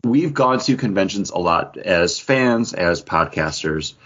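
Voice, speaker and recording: A man with a low, husky voice shares personal anecdotes in an easygoing podcast conversation. He speaks as one of the co-hosts, in casual chat style. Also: expressive, a little slow, fairly bright tone. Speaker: podcast co-host. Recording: dull, lo-fi audio.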